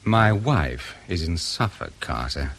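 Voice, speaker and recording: A man's voice: low-pitched, expressive, takes it slowly, fairly bright tone, a little hiss or hum, exaggerated voice. An actor in a radio drama playing an irritable, indignant male intelligence interrogator with a testy, clipped manner. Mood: irritated, indignant.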